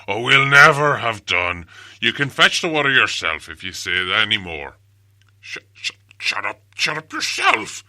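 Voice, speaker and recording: A big, dim storybook troll with a very forceful, loud voice. He swings animatedly in pitch as he blusters and grumbles in crude, colloquial speech, always spoiling for an argument. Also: wildly swooping pitch, measured pace, exaggerated voice. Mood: angry bickering.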